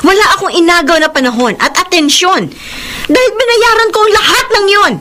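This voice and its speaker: A woman's voice: extremely high pitch, animated, a little fast, sharp tone, slight background noise, exaggerated voice. A woman in a Filipino radio drama playing an impassioned mistress who pleads and defends her love in an emphatic, melodramatic way. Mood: indignant, defensive.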